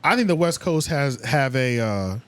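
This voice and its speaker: A man's voice: mid-range voice, big pitch swings, moderate pace, clear, resonant tone. A man hosting a casual podcast discussion, agreeable and tentative as he searches for his words. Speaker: podcast host.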